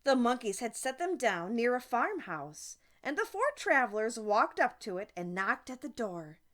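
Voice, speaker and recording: A woman reading a children's story aloud as an audiobook narrator, expressive and deliberate. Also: fairly high pitch. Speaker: audiobook reader.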